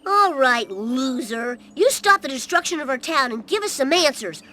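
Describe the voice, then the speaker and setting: A woman voicing a no-nonsense, scolding cartoon mother, bossy and matter-of-fact, in an animated game with music behind. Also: high-pitched, moderate pace, a little hiss or hum. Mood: stern. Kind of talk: animated video game cutscene acting.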